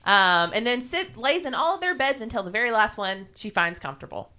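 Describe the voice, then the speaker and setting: A woman's voice: fairly high pitch, animated, brisk, sharp tone. A woman podcast co-host casually retelling a fairy tale in an irreverent, salty-tongued, informal way.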